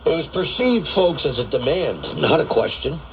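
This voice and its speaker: A man delivers news commentary as a radio host, emphatic and deliberate, with dramatic phrasing. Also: fairly high pitch, big pitch swings, vocal fry. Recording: noisy, muffled shortwave radio reception. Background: shortwave radio static.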